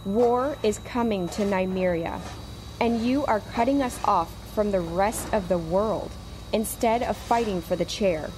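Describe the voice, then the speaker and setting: A woman's voice: mid-range voice, unhurried, a little mellow, a little hiss or hum, natural voice, poor audio. A woman playing an exasperated, sensible queen who chides drily in a comedic fantasy audio drama. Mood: grave, disapproving.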